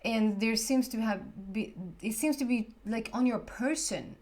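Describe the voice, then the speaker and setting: A woman's voice: on the high side, a little slow, darkish tone. A woman with a Swedish accent, a Swedish native speaker giving a casual tarot reading, chatty and often searching for the right words. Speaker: tarot reader.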